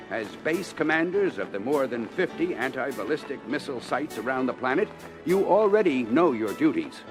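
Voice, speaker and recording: An actor playing a stern, authoritative planetary defense minister in an audio adventure, speaking in formal, measured, emphatic oratory. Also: male voice, medium pitch, close to an everyday voice, rough recording. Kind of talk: audio adventure record acting, military briefing speech.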